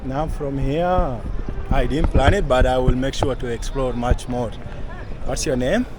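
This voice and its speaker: Young vlogger, chatty and direct to camera, recorded outside over traffic noise. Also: male voice, mid-range voice, steady tone, a little slow. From South Sudan. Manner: chatty, keeps addressing viewers as guys. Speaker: travel vlogger. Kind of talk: casual travel vlog. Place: outdoors at a busy temple site. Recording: street noise outdoors.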